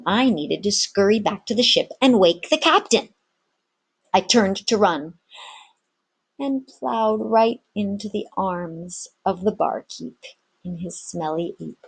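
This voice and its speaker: A woman's voice: medium pitch, takes it slowly, vocal fry, husky. A woman narrating an adventure story expressively, like a teacher reading aloud to students.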